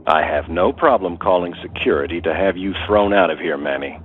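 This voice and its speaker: An actor playing a guarded, easily riled wealthy older brother in an audio soap opera, curt and dismissive. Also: male voice, sits low, brisk, slight background noise, close to an everyday voice. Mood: hostile, accusing. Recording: narrow, phone-like sound.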